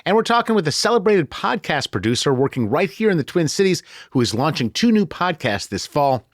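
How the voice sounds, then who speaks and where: A man's voice: a little high, big pitch swings, brisk, no echo, clean studio sound. An American man hosting a conversational news podcast in a colloquial, joking way. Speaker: podcast host.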